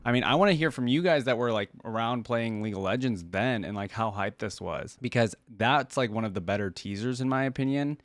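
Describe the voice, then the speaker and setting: A man's voice: medium pitch, fairly quick, few pauses, dry recording. A man hosting a reaction video, giving casual, informal commentary like an enthusiastic fan.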